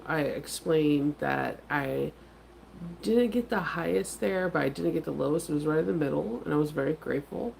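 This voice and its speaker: Congested low-voiced woman chatting casually. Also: vocal fry, a little mellow, slight background noise, poor audio. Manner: rambling, chatty, many fillers. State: congested with a cold. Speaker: vlogger and craft vendor. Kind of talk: casual morning chat to viewers.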